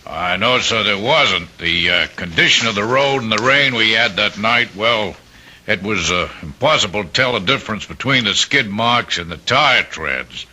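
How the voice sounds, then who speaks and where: An actor playing a deferential police sergeant in a radio drama, halting and respectful. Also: male voice, fairly low pitch, lively intonation, bright, forceful tone, exaggerated voice. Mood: hesitant, respectful. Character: deferential, hesitant police sergeant giving testimony. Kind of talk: old-time radio drama acting.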